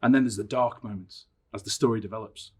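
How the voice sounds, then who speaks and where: A middle-aged man, a teacher, talking about books and reading a story aloud with expressive, dramatic emphasis. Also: lowish voice, fast talker, husky. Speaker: science teacher reading a favourite book aloud. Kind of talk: personal introduction and storybook reading.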